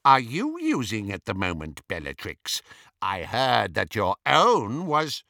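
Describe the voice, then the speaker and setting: A man voicing a snobbish, suspicious male dark wizard in an audiobook, formal and disdainful, with a pompous drawl. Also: fairly low pitch, huge pitch swings, slow pace, creaky, dry recording, clean studio sound, heavily acted. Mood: suspicious, curious. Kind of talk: audiobook character voice.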